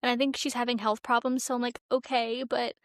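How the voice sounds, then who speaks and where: Podcast co-host, young woman, slightly high voice, animated slangy chat, dry recording. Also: fairly quick, no room sound. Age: young adult. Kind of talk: casual pop culture chat.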